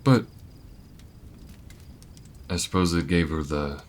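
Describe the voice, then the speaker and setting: A man's voice: low-pitched, takes it slowly, slight background noise. A man voicing a laid-back, protective alien gunslinger in an intimate audio roleplay, with folksy, hesitant, reflective speech. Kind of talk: slow-burn audio roleplay, intimate monologue to listener.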